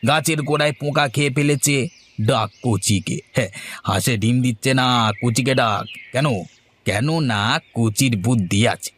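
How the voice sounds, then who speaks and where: A man's voice: medium pitch, unhurried, a bit bright, a little hiss or hum, lightly acted. A man voicing a crafty, self-important village advisor in a cartoon, boastful and cajoling, with rhetorical questions. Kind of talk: cartoon voice acting.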